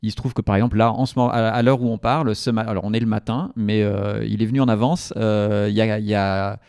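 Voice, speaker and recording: A man's voice: on the low side, fairly even intonation, quick pace, creaky, a little mellow, clean tone, no room sound, studio quality. A man from a community TV association explaining its work in a radio interview, hesitant and full of restarts.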